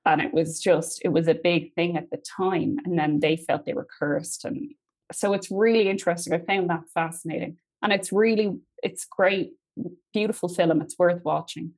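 A young Irish woman with a slightly low voice tells family tales in a relaxed, chatty way. Her volume swells and drops as she talks, and she laughs easily between thoughts. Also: normal speed. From Ireland. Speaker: guest. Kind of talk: casual storytelling conversation.

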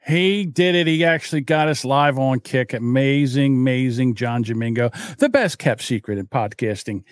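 A man co-hosting a podcast, trading loud, crude jokes in casual banter. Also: mid-range voice, normal speed, no room sound. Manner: loud joking, crude wisecracks. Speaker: podcast co-host.

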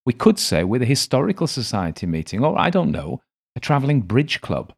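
A man voicing a bright, playful young woman with a giggly manner. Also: medium pitch, big pitch swings, brisk, vocal fry, husky, voice pushed up, heavily acted.

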